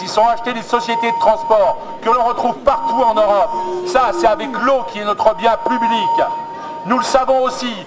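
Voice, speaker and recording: Very high-voiced man giving an activist speech. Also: rough recording. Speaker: protester speaking at a council meeting. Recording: public meeting with crowd noise.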